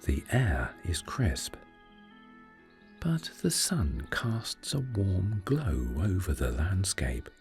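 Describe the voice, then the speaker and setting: A deep-voiced man reads a sleep meditation story very slowly and soothingly. His voice is gravelly, with gentle, breathy phrase endings and wide, swooping intonation. Soft music plays faintly underneath. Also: big pitch swings. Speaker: meditation narrator.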